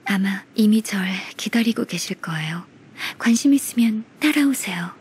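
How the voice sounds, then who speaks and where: A woman playing a polite, earnest young half-beast heroine in a video game, speaking formally and gently. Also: medium pitch, expressive, moderate pace, husky. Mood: calm, earnest. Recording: music behind the voice.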